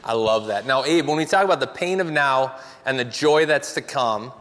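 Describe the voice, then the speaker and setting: A man's voice: medium pitch, normal speed, fairly bright tone. A man hosting a church service from the stage, upbeat and enthusiastic as he introduces a guest. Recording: long reverb of a large hall.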